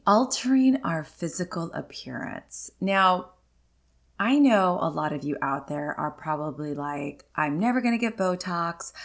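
Woman in her 40s rambling on her podcast, lowish voice, lots of ums and likes. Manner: chatty and candid, many ums and likes. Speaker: podcast host. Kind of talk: casual solo podcast chat.

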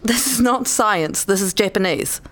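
Stern mum with a very lively pitch played by a woman. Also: a little slow. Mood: impatient. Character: stern, exasperated Japanese mother and wife. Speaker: actress in a radio play. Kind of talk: audio drama dialogue.

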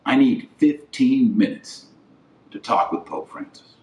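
A man's voice: medium pitch, sing-song, unhurried, darkish tone. A middle-aged man hosting a podcast, giving emphatic opinion commentary in short, repeated declarative phrases. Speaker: podcast host. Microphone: webcam setup. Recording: roomy echo, distant microphone.

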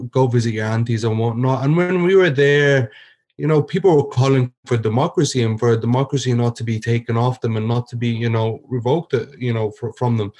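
A man's voice: medium pitch, a little mellow. A man in his twenties from Ireland, speaking as a podcast guest. He gives personal testimony in an earnest way, often restarting as he searches for words. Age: 20s.

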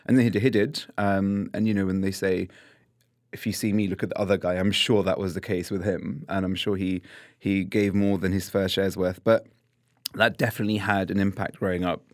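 Man, 30s, reflective interview guest, deep-ish voice, dry close mic. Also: fairly quick, no room sound. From London, England. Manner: thoughtful, restarts and repeats words, shifts between lively and hushed. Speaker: podcast guest.

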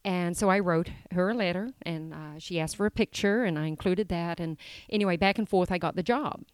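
A New Zealand woman in her fifties, a podcast guest chatting casually about her life, hesitant with many pauses and easy laughs. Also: fairly low pitch, clean tone, dry recording. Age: 50s. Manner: hesitant, lots of ums and restarts, laughs easily. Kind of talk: casual interview chat.

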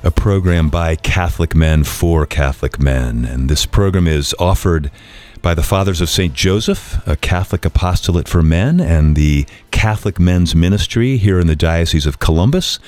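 A man's voice: low voice, normal speed, darkish tone. A man hosting a faith radio program, eager and welcoming, with frequent fillers.

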